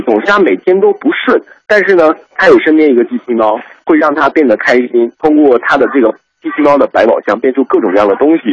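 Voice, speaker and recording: Fast, animated man with a husky voice. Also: mid-range voice, no echo, highs cut off. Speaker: radio host. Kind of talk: casual explanatory radio commentary on animation.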